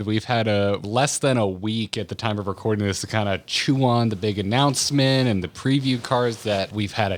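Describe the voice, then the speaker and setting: A man hosting a podcast interview in a casual, conversational way. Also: mid-range voice. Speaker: podcast host.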